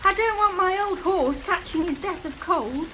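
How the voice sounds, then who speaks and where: A woman reading the part of a brisk male cart driver in a storybook, calling out loudly. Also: very high voice, a little hiss or hum, voice pushed up. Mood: brisk, urgent.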